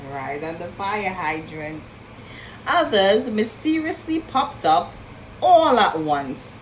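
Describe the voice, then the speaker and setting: A woman reading a picture book aloud to children in an expressive storytelling style, with marvelling asides and questions to her young listeners. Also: mid-range voice, takes it slowly, slight background noise, low-quality recording.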